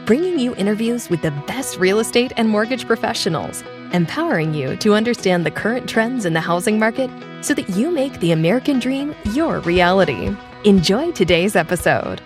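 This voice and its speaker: Lively female show announcer over guitar. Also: medium pitch, brisk. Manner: lively, polished delivery. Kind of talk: scripted show intro. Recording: guitar music underneath.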